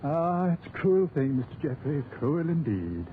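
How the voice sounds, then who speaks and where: A man's voice: fairly high pitch, sing-song. An actor playing a sanctimonious, musing village clergyman in a 1940s radio drama. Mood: pious sorrow. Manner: pious, sighing, drawn-out delivery. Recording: tinny, hissy 1940s radio recording.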